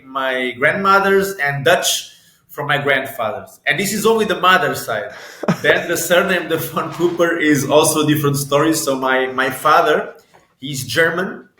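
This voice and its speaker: A man's voice: fairly high pitch, bright, forceful tone, reverberant, poor audio. A man from Portugal in his thirties, a guest in an interview, talking with many restarts and repeated words. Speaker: guest, football agent and sports lawyer. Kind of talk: conversational interview.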